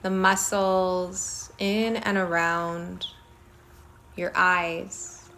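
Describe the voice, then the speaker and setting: A woman leading a guided body-scan meditation in a soothing manner, speaking in short phrases with long pauses between them. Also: lowish voice, fairly even intonation, sharp tone, a little hiss or hum. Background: singing bowls.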